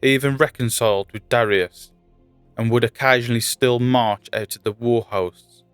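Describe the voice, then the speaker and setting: A man reading scripted fantasy lore as a narrator, in a measured storytelling delivery. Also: mid-range voice, fairly even intonation, a bit bright, clean tone, no room sound. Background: faint music.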